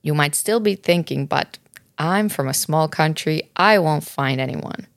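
Low-voiced woman with a gravelly edge. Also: unhurried, no echo, clean studio sound. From Finland. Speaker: podcast co-host. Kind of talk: scripted podcast tips. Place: Montreal, Canada.

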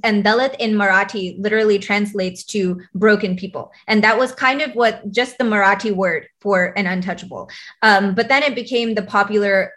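A woman with an American English accent, explaining things in a relaxed conversational way, often restarting her sentences. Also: mid-range voice. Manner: conversational, frequent fillers and restarts while explaining. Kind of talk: explanatory casual chat.

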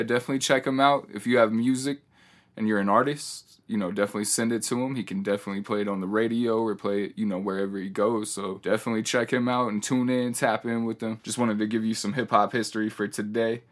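A male podcast host with a fairly low voice and very little melodic movement. He delivers a solo monologue in a casual, laid-back way, dropping in hip-hop slang.

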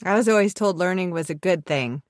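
A woman's voice: fairly low pitch, brisk, dry recording, clean studio sound. A woman co-hosting a podcast in casual chat, a little nasal from a cold, chatty and giggly. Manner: chatty, giggly, self-deprecating. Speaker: podcast co-host.

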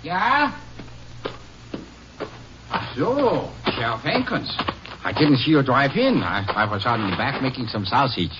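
An actor playing an indignant, plain-spoken old farmer with a German accent in a 1950s radio drama. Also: male voice, mid-range voice, measured pace, pauses often. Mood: friendly. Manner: excitable, protesting, foreign phrasing with frequent "yeah". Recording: muffled, noisy 1950s radio recording.

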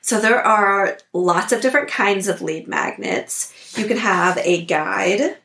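A woman hosting a podcast, hoarse from a cold, giving upbeat, practical coaching tips. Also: mid-range voice, a little slow, a bit bright, roomy sound. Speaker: podcast host. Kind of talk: instructional podcast talk.

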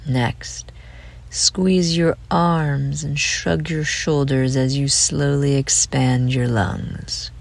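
A woman guides a meditation at an extremely unhurried pace, leaving long spaces between short phrases. Her voice sits low and turns creaky in places. She sounds gentle and soothing, often trailing off into breathy near-whispers. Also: little pitch movement. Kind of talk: slow guided relaxation meditation.

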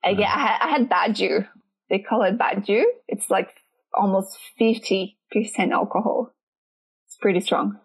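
A Brazilian woman speaking English as a second language, answering interview questions in a relaxed chat and often pausing to search for words. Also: mid-range voice, husky, poor audio. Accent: Brazilian Portuguese. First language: Portuguese.

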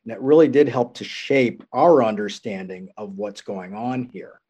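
A man who is a law professor hosting the conversation, asking questions in a measured way and hedging with fillers. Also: on the low side, dark tone, slightly rough. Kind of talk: interviewer's questions.

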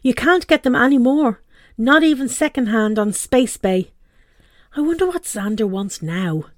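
A woman's voice: on the high side, expressive, a little slow, no echo, studio quality, pitched above the performer's own voice. A woman voicing an exasperated, suspicious mother in a story she reads aloud. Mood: fretful. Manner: indignant, demanding.

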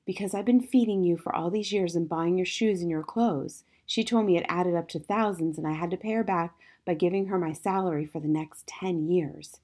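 A woman playing a gentle, modest young schoolteacher in a storybook, confiding in a soft, restrained way with quiet resilience. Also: lowish voice, normal speed, few pauses, natural voice. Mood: rueful, confiding.

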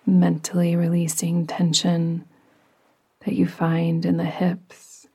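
A woman guiding a relaxation meditation in a soft, breathy, partly whispery voice with a soothing, gentle, unhurried manner. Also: lowish voice, completely flat delivery. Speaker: yoga nidra meditation guide.

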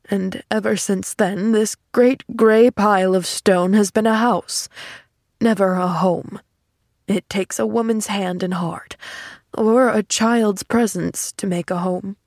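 A woman reading the part of a lonely, earnest older man in an audiobook, halting and easily flustered. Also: mid-range voice, creaky, a little mellow, no echo, clean studio sound. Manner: halting, pleading. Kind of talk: audiobook character acting.

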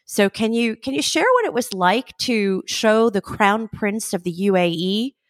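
A woman's voice: medium pitch, expressive, measured pace, no echo, studio quality. A woman interviewing a guest, asking questions in a conversational way and following up on his answers.

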